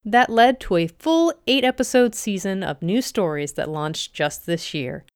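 A woman's voice: mid-range voice, a little slow, clean tone, no echo, studio quality. A woman hosting a podcast, reading a scripted introduction to a story. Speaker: podcast host introducing stories.

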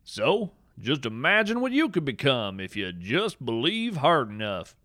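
Man, whimsical nature narrator, folksy, animated, bright, dry. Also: mid-range voice, measured pace, no room sound. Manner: folksy, playful, deadpan-absurd humor. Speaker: podcast narrator.

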